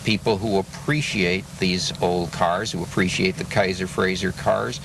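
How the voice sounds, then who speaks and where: A man giving a measured, precisely worded interview answer in a noisy outdoor setting. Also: low-pitched, fairly even intonation, vocal fry. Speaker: car club interviewee. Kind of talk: unscripted interview talk. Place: outdoors at a car meet. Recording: noisy outdoor pickup, slightly distant.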